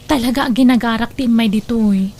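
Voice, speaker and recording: Soothing, protective family woman played by an actress, high voice, radio drama, light background noise. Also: fairly quick, darkish tone, natural voice. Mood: coaxing. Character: caring, soothing female relative of a troubled young mother. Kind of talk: Ilocano radio drama acting.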